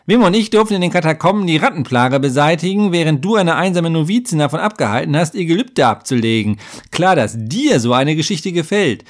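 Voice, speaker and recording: A man's voice: on the high side, big pitch swings, moderate pace, pitched above the performer's own voice. A man voicing a small, grumpy, cynical half-gnome adventurer with a growling, sarcastic manner in a fantasy story.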